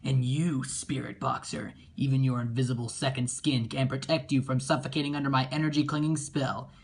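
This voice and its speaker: A man's voice: medium pitch, flat delivery, brisk, breathy edge. A man voicing a villainous emperor sorcerer from a comic book with grandiose, declamatory delivery. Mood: gloating. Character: pompous villainous emperor sorcerer, male, menacing.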